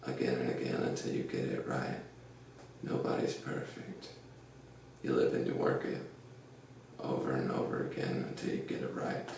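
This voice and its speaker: A man hosting a casual podcast, with a deep, gravelly voice, rambling through an earnest pep talk full of fillers. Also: monotone, moderate pace, a little mellow, recorded from a distance. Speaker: podcast host. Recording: echoey room, off-mic, faint noise.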